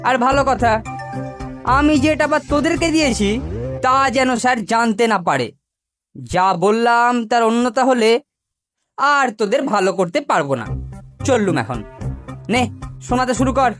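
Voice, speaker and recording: A woman's voice: on the high side, expressive, moderate pace, long pauses, faint music behind, close to an everyday voice. A woman voicing a sly, boastful, bossy older schoolboy in a cartoon, with a wheedling way of talking. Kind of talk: cartoon voice acting.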